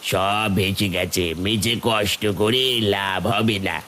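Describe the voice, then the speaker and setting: Man playing a cautioning village uncle, medium-low voice. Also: steady tone, slow, sharp tone, slight background noise. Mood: concerned. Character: village uncle, concerned and discouraging. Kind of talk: Bengali cartoon folk-tale voice acting.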